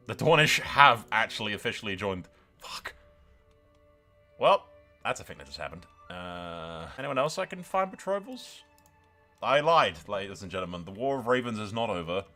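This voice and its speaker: A man gives casual let's play commentary over background music. His delivery swings widely in pitch and has a forceful, bright edge. He is sarcastic and theatrical, swearing freely as he narrates. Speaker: gaming video host.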